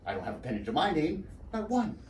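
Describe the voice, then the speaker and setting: A man on stage performs a nervous, downtrodden husband delivering a comic lecture in a fairly high, very animated voice. He digresses, exclaims and sinks into self-pity. The sound is roomy and echoing. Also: quick pace. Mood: confiding, resigned. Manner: digressive, self-pitying, exclaiming then trailing off. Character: nervous, henpecked, rambling husband giving a public lecture. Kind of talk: theatrical comic stage monologue. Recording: roomy, echoing, distant mic with background noise.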